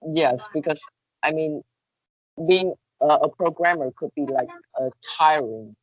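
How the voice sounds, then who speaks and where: A woman's voice: deep voice, measured pace, dry recording, highs cut off. A woman whose first language is Vietnamese, answering interview questions in English for a contest, hesitant and full of fillers. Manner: hesitant, searching for words, many fillers.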